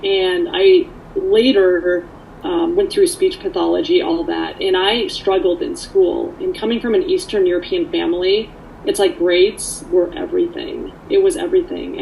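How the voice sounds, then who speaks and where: A woman's voice: fairly low pitch, fairly even intonation, a little slow, creaky, darkish tone, slight background noise, low-quality recording. A woman from Canada, born in Poland, giving candid, earnest personal testimony in a conversational way. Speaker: speaker sharing her recovery story.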